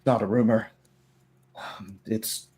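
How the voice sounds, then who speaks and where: A man voicing a frightened castle guard in a tabletop roleplaying game, halting and stammering. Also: on the low side, fairly even intonation, dark tone, husky. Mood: shocked.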